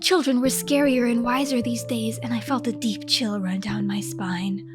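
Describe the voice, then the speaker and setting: A woman's voice: a little high, steady tone, moderate pace. A woman narrating a scripted comic diary in a theatrical, mock-serious and earnest way. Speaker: storyteller, content creator. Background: music.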